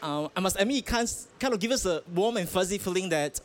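A man's voice: high voice, animated, quick pace. A man from Malaysia, a pastor, answering interview questions earnestly, with hesitant restarts and fillers, outdoors at a festival. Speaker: pastor, guest.

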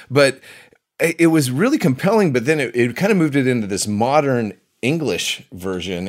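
Chatty, rambling man hosting a film show. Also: medium pitch. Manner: chatty, rambling, often doubles back on phrases. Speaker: film review show host. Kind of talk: casual unscripted film review chat.

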